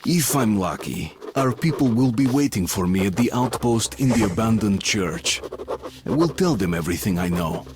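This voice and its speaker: A man playing a solemn soldier who narrates his post-apocalyptic journey in a video game, measured and introspective. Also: on the low side, lightly acted. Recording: game narration over clear background noise.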